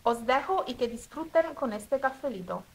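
An Italian woman with an Italian accent speaking Spanish as a second language, demonstrating a recipe step by step at home in a careful, slightly halting way. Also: high voice, animated, gravelly, a little hiss or hum, rough recording. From Italy. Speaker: cooking video host. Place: home kitchen table.

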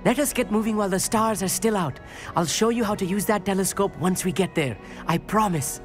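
A man's voice: high voice, fairly quick, lightly acted. An actor playing an eager, bookish, nervous teenage boy astronomer in a video game, chatty and slightly formal in manner. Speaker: companion character in a fantasy video game. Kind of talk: scripted game dialogue. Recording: game music under the voice.

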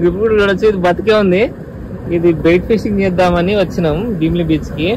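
Excitable man in his thirties, slightly high voice, vlogging on seaside rocks, steady wind and surf noise on the camera mic. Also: fast. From Telangana. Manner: excitable, switches into English phrases. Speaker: fishing vlogger. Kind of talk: casual vlog narration. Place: rocky seashore at night, outdoors.

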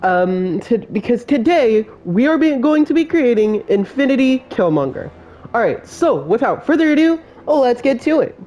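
A man's voice: extremely high pitch, sing-song, a little mellow. A man with a cold and a congested voice, hosting a step-by-step game build tutorial as a voice-over. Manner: slow, plain instruction-giving voice-over.

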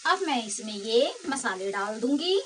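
Home-cook host, female, higher-pitched, steady step-by-step delivery, kitchen sizzle audible. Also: measured pace. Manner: plain, steady explaining of each step. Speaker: cooking channel host. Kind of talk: step-by-step cooking narration. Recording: clear kitchen cooking noise. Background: sizzling and stirring in a pan.

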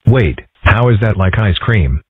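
Man playing earnest cartoon animal boy, deep voice, husky, deadpan, matter-of-fact, boxed-in lo-fi phone sound. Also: a little slow, no room sound, heavily acted. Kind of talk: scripted comic-strip dub. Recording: dry, muffled phone-like audio.

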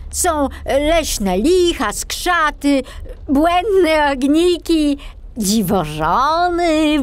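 A woman playing a jovial, teasing old male forester in a children's storybook, with a hearty, drawn-out delivery. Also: high voice, voice pushed up, exaggerated voice. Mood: matter-of-fact. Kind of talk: children's storybook character acting.

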